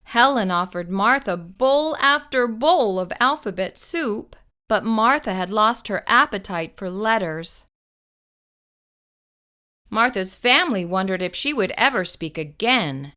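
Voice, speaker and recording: A woman reading a children's picture book aloud, slow and expressive. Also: medium pitch. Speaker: children's storyteller.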